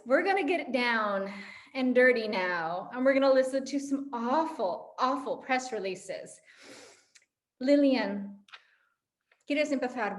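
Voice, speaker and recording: A woman with an American accent hosting an online panel and introducing guests in a theatrical, emphatic way. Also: a little high. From Los Angeles, United States. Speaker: moderator of a panel event. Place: online video call.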